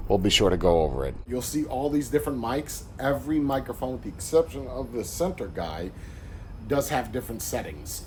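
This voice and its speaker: A man's voice: mid-range voice, darkish tone, slight background noise. A man reviewing gear in a casual, rambling talk straight to his viewers, restarting sentences as he goes. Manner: persuasive, addressing viewers directly. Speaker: tech product reviewer.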